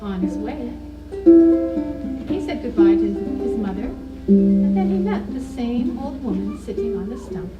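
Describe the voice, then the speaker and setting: A woman telling a folktale to children at a live puppet show, with measured, dramatic phrasing. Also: a little high, animated. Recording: echoey, distant mic, music over voice. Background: ukulele or guitar music, clearly heard.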